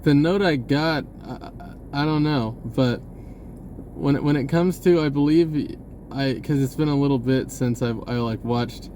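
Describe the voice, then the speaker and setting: A man's voice: mid-range voice, unhurried, mellow, slight background noise. A man giving a rambling, spontaneous message in an informal, hesitant way, often rephrasing as he goes. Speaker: online video creator.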